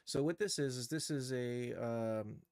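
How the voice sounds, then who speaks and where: A man giving an unscripted software tutorial on a livestream, halting and thinking aloud as he works. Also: medium pitch, no room sound, clean studio sound. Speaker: livestream host and game developer.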